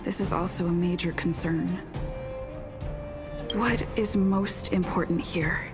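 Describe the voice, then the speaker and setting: A woman voicing the player's calm, reflective inner monologue in a game cutscene. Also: fairly low pitch, slow pace. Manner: calm, reflective, evenly paced. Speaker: player character thinking aloud. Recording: studio dub with music underneath.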